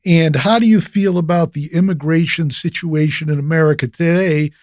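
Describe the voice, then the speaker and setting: A man hosting an interview, firing blunt and quick questions at his guests. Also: fairly high pitch, fairly even intonation, a little mellow, dry recording, clean studio sound. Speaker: interview host. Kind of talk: podcast interview.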